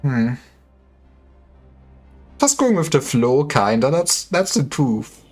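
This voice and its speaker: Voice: mid-range voice, very animated, slow pace, a little mellow. A livestreamer giving casual, wry commentary on a game, with joking asides. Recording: faint music behind. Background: game music.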